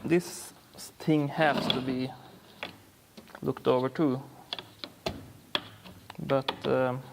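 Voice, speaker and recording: Slow, gravelly Swedish man in a workshop. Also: mid-range voice, steady tone, in a large hall, noisy background. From Sweden. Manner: halting, many ums and uhs, dry humor. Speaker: DIY car repair vlogger. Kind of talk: casual workshop commentary.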